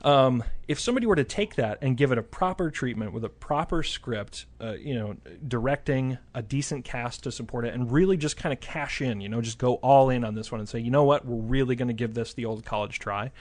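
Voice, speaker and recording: A man with a mid-pitched voice talks casually on a film podcast. He thinks aloud, often repeating words and halting as he shapes his point. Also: darkish tone.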